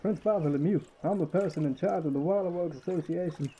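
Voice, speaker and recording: A man performs a folksy old caretaker in an audio drama. The voice sits fairly high but is very soft-edged and mellow. Faint noise and trickling water lie behind it. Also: fast, highs cut off, natural voice. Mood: warm, conspiring. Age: older. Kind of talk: radio-play acting.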